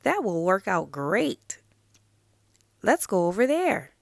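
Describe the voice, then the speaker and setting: Stubborn young frog for a picture book female reader, slow, childlike protests, dry close sound. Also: mid-range voice, very animated, gravelly, no room sound, exaggerated voice. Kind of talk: picture-book read-aloud.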